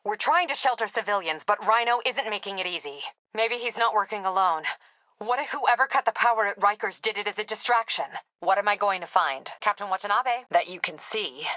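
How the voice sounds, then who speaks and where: A woman's voice: medium pitch, bright, forceful tone, no room sound, close to an everyday voice, low-quality recording. A woman playing a tough, overworked police captain in a video game, speaking in a clipped, authoritative, dry manner. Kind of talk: dramatic video game dialogue.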